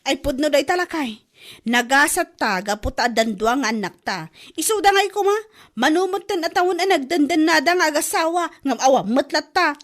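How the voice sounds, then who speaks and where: A woman's voice: high voice, lively intonation, moderate pace. A woman with an Ilocano accent playing a feisty, exclamatory village woman in a comedy drama. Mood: grumbling.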